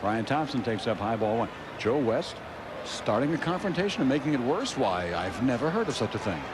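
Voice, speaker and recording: Male American baseball play-by-play announcer, lowish-key wry chat between clipped calls, heavy ballpark noise behind. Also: sing-song, fairly quick. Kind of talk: live radio baseball commentary. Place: open-air ballpark broadcast booth. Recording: very noisy outdoor ballpark broadcast.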